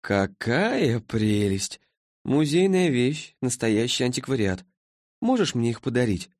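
Man voicing a languid high-pitched woman from the future. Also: sing-song, unhurried, darkish tone, no echo, studio quality. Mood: languidly pleased. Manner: listless drawl, clinical, detached. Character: languid, sleepy-voiced female being from a far future.

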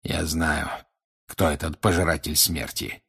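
A man voicing a grim older wizard in an audiobook, questioning in an insistent, deliberate and menacing way. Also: low-pitched, a bit bright, breathy edge, full character voice. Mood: quiet, menacing. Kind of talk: audiobook character acting.

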